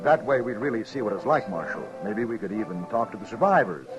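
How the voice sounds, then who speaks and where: Eager, animated city writer. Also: male voice, on the low side, fast talker. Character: forward, morbidly curious Eastern city writer, adult man. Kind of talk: old-time radio western acting. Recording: dull old radio recording, music bed.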